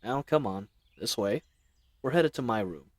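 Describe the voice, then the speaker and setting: Man playing a charming, lively bandit leader. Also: mid-range voice, measured pace, dry recording. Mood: teasing, easygoing. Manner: casual, chatty, playfully teasing. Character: charismatic, friendly male bandit leader, teasing and roguish. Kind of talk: fantasy audio roleplay acting, speaking intimately to listener.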